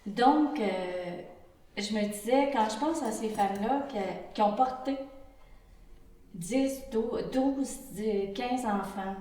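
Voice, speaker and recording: An earnest Québécois woman in her sixties gives her testimony, pausing and restarting as she searches for words. She sits some distance from the microphone. The room around her is very echoey. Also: a little high, warm tone. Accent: Québécois French. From Quebec. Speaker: interview participant. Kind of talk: reflective group interview testimony. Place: indoor room with a pump running.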